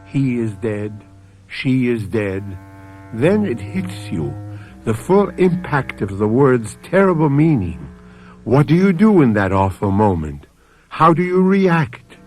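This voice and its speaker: A man's voice: medium pitch, big pitch swings, slow pace, faint music behind. A man hosting a radio mystery drama, narrating in a solemn, theatrical, rhetorical manner. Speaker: host and narrator.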